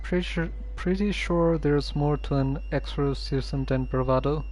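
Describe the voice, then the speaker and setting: A streamer casually commenting on a story game with a hesitant, musing, deadpan way of talking. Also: mid-range voice, a little slow.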